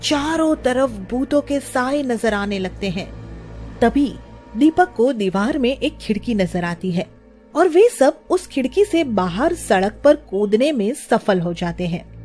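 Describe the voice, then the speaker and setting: A woman's voice: on the high side, very animated, a little slow, a little mellow, soft background music. A woman narrating a scripted children's story as its storyteller.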